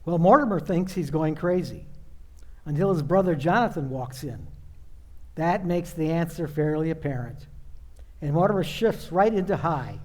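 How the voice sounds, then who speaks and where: A man's voice: fairly high pitch, animated, normal speed. A man giving scripted narration between scenes of an old-time style radio play.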